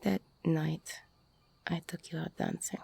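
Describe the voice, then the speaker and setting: Lady doing a older ex-mobster girlfriend for audio rp, super gravelly and husky, breathy half whisper, teasing but vulnerable. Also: deep voice, flat delivery, mellow, full character voice. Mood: tender, confessional. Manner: hesitant, slow, half-whispered confiding. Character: older mobster-turned-bartender ex-con girlfriend, tender, teasing, insecure. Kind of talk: intimate romantic audio roleplay monologue.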